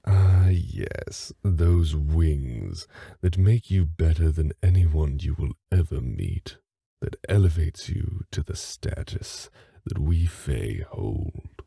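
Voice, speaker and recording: A man's voice: a bass voice, expressive, slow pace, gravelly, warm tone, slightly rough, no echo, clean studio sound, full character voice. A man playing a haughty, aristocratic fae father in an audio drama, speaking formally and with cold condescension. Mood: haughty contempt. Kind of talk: dramatic audio roleplay acting.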